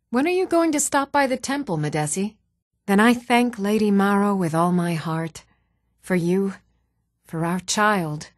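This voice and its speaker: An earnest townswoman from a fantasy role-playing game. Her voice sits at a middle pitch and she speaks in measured, formal, carefully enunciated phrases. The recording is dry and close, with no room around it. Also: no echo. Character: even-toned fantasy townswomen: earnest mother, priestess, steward. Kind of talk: scripted video game voice acting.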